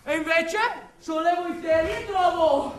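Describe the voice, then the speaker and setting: A very high-voiced, blustering building-site foreman played by a woman in a radio play. He rambles defensively, cutting himself off, agitated and coarse. The voice sits back from the mic in an echoey space. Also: fairly even intonation, normal speed. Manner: agitated, rambling, self-interrupting. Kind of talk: theatrical radio drama monologue. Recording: echoey room, distant mic.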